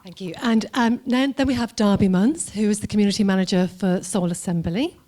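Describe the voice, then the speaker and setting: A woman's voice: medium pitch, a little fast, mellow. A woman moderating a panel discussion in front of an audience, chatty and restarting her sentences often. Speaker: moderator and lecturer.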